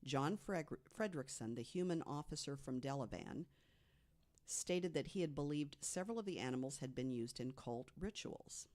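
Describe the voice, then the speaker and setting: Podcast panelist, medium pitch, true-story narration, reading from notes, self-correcting. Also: lively intonation, normal speed, a little mellow. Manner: reads from notes, corrects slips.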